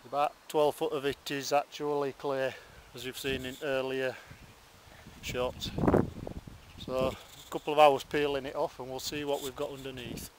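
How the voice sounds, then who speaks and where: A man's voice: medium pitch, little pitch movement, moderate pace. A man in his forties with a Yorkshire accent, commenting drily on his bottle dig as he films it outdoors in the countryside. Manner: dry, wry understatement. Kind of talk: casual commentary while digging. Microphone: handheld or worn camera close to face. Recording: outdoor camera mic with clear background noise.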